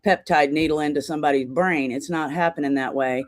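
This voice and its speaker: A woman's voice: low-pitched, fairly even intonation, a little fast, no room sound. A woman in her sixties, a guest on a podcast, telling her story earnestly in long run-on sentences. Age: 60s. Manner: earnest, talks in long run-on stories with laughter. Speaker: podcast guest, charity founder. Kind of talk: casual interview storytelling.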